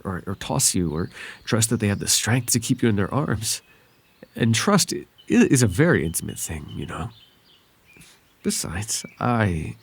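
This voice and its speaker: A male voice actor plays a devoted fairy man in an intimate ASMR roleplay. His low voice is breathy and husky, with a gravelly creak. He speaks tenderly and a little shyly, choosing formal, courtly words. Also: heavily acted. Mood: flustered, shy. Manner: tender, hesitant, formal old-fashioned phrasing. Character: gentle, devoted, bashful winged fairy man.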